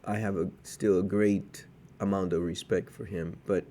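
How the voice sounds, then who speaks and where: Low, mellow-voiced man from Cuba. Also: fairly even intonation, slight background noise. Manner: measured, cautionary, with frequent hesitations. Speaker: podcast co-host. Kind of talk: casual podcast chat.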